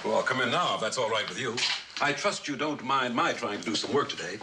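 An actor playing a stiff, resentful scientist in a mid-century television drama, speaking with clipped, pointed politeness. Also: male voice, mid-range voice, expressive, fairly quick, close to an everyday voice. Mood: peeved, stiff. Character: stiff, resentful, overconscientious male scientist colleague. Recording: very noisy, roomy old television soundtrack.

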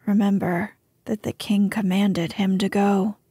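A noble knight gives a measured warning in a hushed, husky whisper, voiced by a woman narrator. The sound is close and dry. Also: mid-range voice, little pitch movement, no echo. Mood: calm.

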